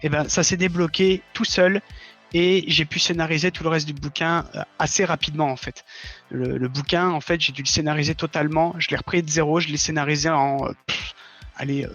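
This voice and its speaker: Guy explaining stuff earnestly on a stream, bright forward voice, a bit lo-fi, music under it. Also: on the high side, fairly even intonation, fairly quick. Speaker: interview guest, audio fiction author. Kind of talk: casual conversation on a livestream. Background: background music.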